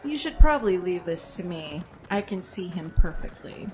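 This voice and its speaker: A woman's voice: fairly low pitch, very animated, moderate pace, a crowd in the background, noisy background. A woman playing a confident, dry-witted female fighter in an audio drama. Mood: assured.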